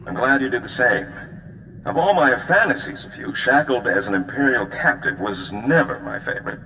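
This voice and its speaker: An actor playing a roguish, flirtatious smuggler in a video game, with a wry, theatrical delivery. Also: male voice, medium pitch, lively intonation, moderate pace, creaky, sharp tone, a little hiss or hum. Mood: flirty, relieved. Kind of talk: video game cutscene acting. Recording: long hall reverb, faint music behind.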